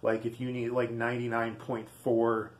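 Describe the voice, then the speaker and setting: A man giving a casual product demonstration in a halting, rambling, easygoing way. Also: medium pitch, monotone, a little hiss or hum. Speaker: video host.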